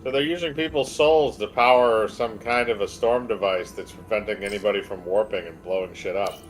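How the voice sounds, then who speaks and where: A man's voice: lowish voice, a little fast, rough recording. A man streaming a video game, chatting casually in a joking, laid-back way. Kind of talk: casual playthrough banter. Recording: loud game music underneath. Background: game soundtrack.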